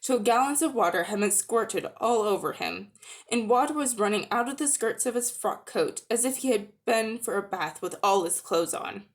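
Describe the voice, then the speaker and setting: A woman narrating a children's story as a dramatic reading, expressive and deliberate. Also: fairly high pitch. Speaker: audiobook narrator.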